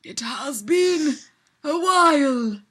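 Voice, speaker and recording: A woman's voice: sits high, expressive, very slow, a bit bright, heavily acted. Woman playing a haughty, overwrought god prince. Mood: solemn, apologetic. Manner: formal, stiff, overwrought declamation. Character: haughty, curt male god prince in fan fiction.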